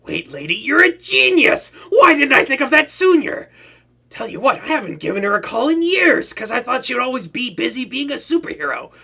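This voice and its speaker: A man's voice: extremely high pitch, big pitch swings, a little fast, gravelly, bright, forceful tone, slightly rough, exaggerated voice. A man playing a flustered, boastful young male supervillain who stammers and swings between moods. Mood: excited. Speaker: voice actor. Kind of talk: hobby audio roleplay to a listener.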